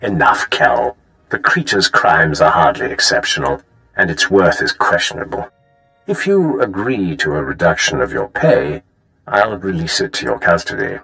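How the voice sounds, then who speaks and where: A man's voice: low voice, big pitch swings, moderate pace, gravelly, bright, forceful tone, soft background music, full character voice, rough recording. A man playing a cool, formal military officer in a sci-fi video game, speaking in a clipped, authoritative way. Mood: stern. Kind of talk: video game character acting.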